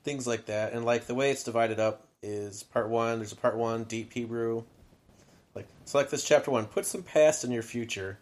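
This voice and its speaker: Male podcast host, easygoing rambling talker, filler-heavy, quick to laugh. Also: medium pitch, steady tone. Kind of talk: casual chat.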